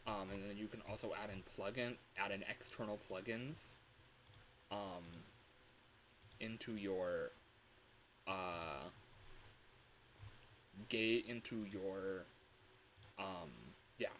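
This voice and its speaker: A man's voice: on the low side, fairly even intonation, takes it slowly, pauses often, fairly bright tone, slight background noise, low-quality recording. A man hosting a casual livestream tutorial, talking in a chatty, rambling, informal way into a microphone. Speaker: livestream host. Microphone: microphone on a home streaming setup.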